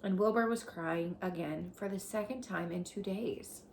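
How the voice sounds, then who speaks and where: A woman reading a children's storybook aloud as a teacher, deliberate and explanatory as she addresses young listeners. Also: mid-range voice, vocal fry, darkish tone, slight background noise.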